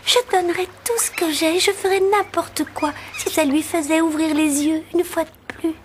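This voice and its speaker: A woman's voice: extremely high pitch, fairly even intonation, moderate pace, background noise. A woman voicing a tender, lovestruck young mermaid girl in a cartoon. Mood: pleading, tender. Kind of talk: French-dubbed cartoon voice acting.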